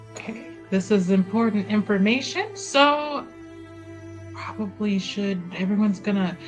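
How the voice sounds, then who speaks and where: Slow-talking woman at the table, laughs a lot, music faint in back. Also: medium pitch, fairly bright tone, poor audio. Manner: chatty, laughs often. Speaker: player. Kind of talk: casual tabletop play.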